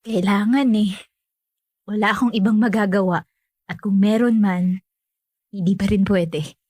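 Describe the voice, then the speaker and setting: A woman's voice: mid-range voice, fairly even intonation, unhurried, darkish tone, no room sound, studio quality, close to an everyday voice. A young woman playing a resigned, dutiful family caretaker in natural radio drama dialogue, self-effacing and accommodating.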